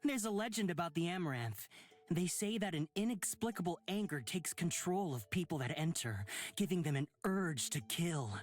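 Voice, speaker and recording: A breezy, teasing young traveller, swooping intonation. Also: female voice, lowish voice. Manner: playful, teasing. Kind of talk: visual novel voice acting. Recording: music behind the voice.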